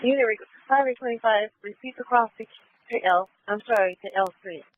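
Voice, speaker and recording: A woman's voice: a little high, fairly quick. A woman working as a police dispatcher, giving clipped, procedural calls over the radio and repeating instructions. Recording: band-limited tinny police radio.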